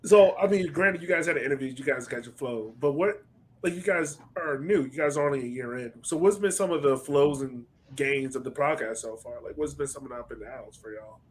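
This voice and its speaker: A man's voice: mid-range voice, brisk, poor audio. Informal, slangy man hosting a podcast. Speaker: podcast host interviewing guests. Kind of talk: casual podcast chat.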